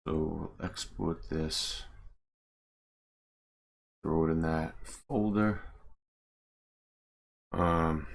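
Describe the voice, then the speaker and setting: Slow, very deep gravelly man. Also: a little hiss or hum. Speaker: streamer, game mod creator. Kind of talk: casual livestream tutorial commentary.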